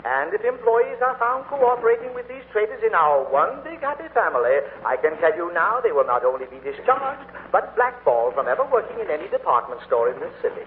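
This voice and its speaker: An actor playing a pompous, menacing general manager making a formal address in a 1940s radio drama. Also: male voice, high voice, big pitch swings, fairly quick, few pauses. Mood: pompous, threatening. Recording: muffled, noisy 1940s radio broadcast.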